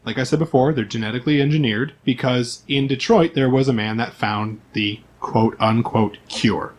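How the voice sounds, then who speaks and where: A man's voice: mid-range voice, moderate pace. A man speaking as game master, explaining the game's setting to his players in an informal, conversational way.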